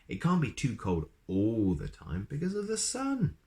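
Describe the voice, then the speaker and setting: A man voicing a wise old storybook wizard, brisk and pompous, given to grand exclamations. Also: mid-range voice, wildly swooping pitch, dark tone, pitched above the performer's own voice. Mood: indignant, astonished.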